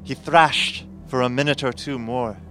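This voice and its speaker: Guy doing a serious old-fashioned professor telling a horror story, mid voice, measured, faint music under it. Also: mid-range voice, fairly even intonation, bright, forceful tone. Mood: grave, recounting. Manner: measured, literary, formal. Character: formal, grave male professor recounting a strange horror. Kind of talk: dramatic scripted narration, gothic horror audio drama.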